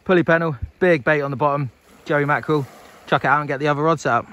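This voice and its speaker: A man in his thirties chatting casually through a fishing vlog, relaxed and full of fillers, outdoors on a beach at night and later at home. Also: a little high, fast talker. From England. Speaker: angling vlogger.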